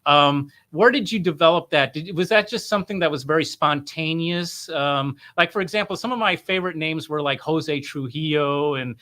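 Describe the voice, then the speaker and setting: Bright-voiced male interview host. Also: fairly high pitch, moderate pace, few pauses. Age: middle-aged. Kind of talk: casual conversational interviewing.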